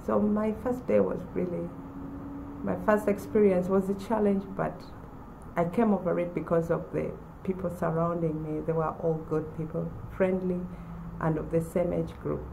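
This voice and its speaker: Woman in her forties, low and mellow with a gravelly edge, giving a personal testimonial. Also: little pitch movement, noisy background. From Uganda. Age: 40s. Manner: measured, with pauses and restarts. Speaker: former college student.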